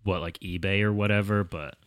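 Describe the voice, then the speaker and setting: A man's voice: low-pitched, fairly even intonation, brisk, fairly bright tone, clear, resonant tone, dry recording. A man hosting a casual comedy podcast, joking in a playful, dry way. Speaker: podcast host.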